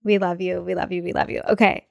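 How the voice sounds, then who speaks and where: A young woman co-hosting a podcast in casual chat, bubbly and quick with asides, laughing often, sounding a bit sick with a cold. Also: on the low side, little pitch movement, creaky, a little mellow, no room sound, clean studio sound. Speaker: podcast co-host.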